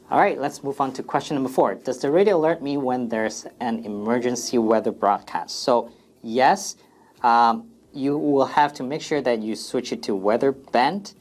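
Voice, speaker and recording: A man presenting an informal product FAQ demonstration in a plain, step-by-step instructional way. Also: medium pitch.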